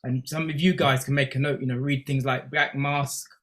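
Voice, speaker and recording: A man's voice: mid-range voice, little pitch movement, normal speed. A man on an online panel recounting a personal experience, hesitant, repeating words and using fillers. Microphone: video call.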